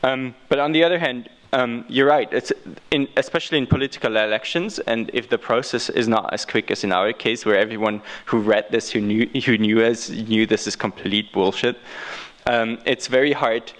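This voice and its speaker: Halting Austrian man giving a talk. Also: mid-range voice, fairly even intonation, a bit bright. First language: German. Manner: halting, repeats and restarts phrases. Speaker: guest speaker. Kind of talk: unscripted talk answering audience questions.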